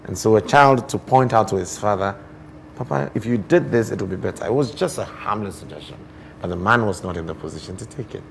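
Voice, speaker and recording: A man with a Ghanaian accent speaks in a lowish voice as an interview guest. He explains in an expressive, deliberate, teacherly way, leaning on rhetorical questions. There is noticeable ambient noise behind him. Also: vocal fry. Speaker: guest poet and storyteller.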